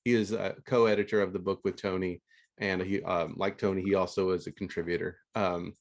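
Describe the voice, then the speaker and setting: Low-voiced man hosting a casual podcast. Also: little pitch movement, gravelly. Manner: halting, rephrasing mid-sentence. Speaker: podcast host. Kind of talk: casual, unscripted interview hosting. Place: remote video call.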